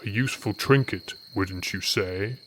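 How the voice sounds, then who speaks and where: A man's voice: on the low side, measured pace, a bit bright, slight background noise, voice pushed down. A man voicing a smug, menacing noble wizard who savours his mocking words in a fantasy audiobook. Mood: dismissive, condescending.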